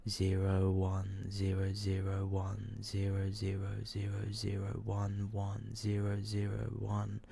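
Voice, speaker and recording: A man softly whispering and murmuring as he reads in an ASMR style, hushed and drawn out, close to the microphone. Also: fairly low pitch, monotone, gravelly, a little hiss or hum. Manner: hushed, slow, drawn-out delivery. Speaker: ASMR video host reading short horror stories.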